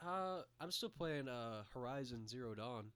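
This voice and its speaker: A man's voice: medium pitch, expressive, normal speed, fairly bright tone, clean tone. A man in his late teens bantering casually as a podcast co-host, joking and teasing in slangy speech. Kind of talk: casual banter between friends.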